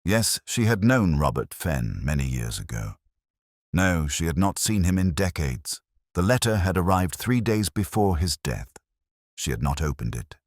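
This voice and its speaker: A middle-aged man narrating a scripted first-person detective story in a measured, brooding, literary manner. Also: sits low, very animated, darkish tone, no echo, studio quality.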